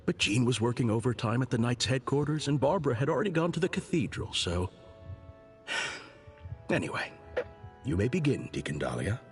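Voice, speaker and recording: A man voices a composed male cardinal from a video game. His delivery is formal and measured, yet melodic and gently wry. Soft music plays underneath. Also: mid-range voice, sing-song, lightly acted. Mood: calm, patient. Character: composed, devout male cardinal, a fatherly churchman. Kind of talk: scripted video game dialogue.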